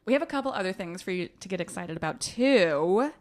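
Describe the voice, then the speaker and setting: A woman's voice: lowish voice, lively intonation, a little fast, a little mellow. An American woman co-hosting a podcast, talking casually about books with a friend. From United States. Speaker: podcast co-host. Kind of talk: casual book discussion chat.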